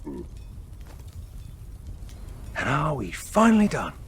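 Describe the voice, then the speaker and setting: A man's voice: fairly high pitch, wildly swooping pitch, takes it slowly, husky, heavily acted. A man playing a practical, long-suffering fantasy hero in a video game, with a dry, sardonic delivery. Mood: weary. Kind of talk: video game character acting.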